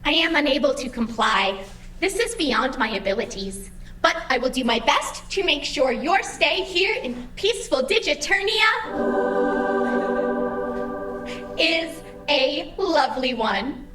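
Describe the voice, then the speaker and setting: A woman's voice: high-pitched, expressive, unhurried, sharp tone, reverberant, far from the mic, full character voice. A woman playing an anxious, chirpy AI assistant in an audio drama, with formal, over-eager politeness. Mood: polite, probing. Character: anxious, chirpy female AI assistant guiding the digital afterlife.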